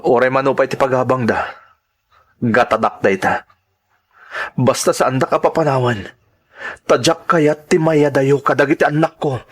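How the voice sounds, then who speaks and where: A man's voice: mid-range voice, moderate pace, pauses often, close to an everyday voice. A man with an Ilocano accent playing an aggrieved, protective father, pleading emotionally in a radio drama. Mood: pleading, defiant.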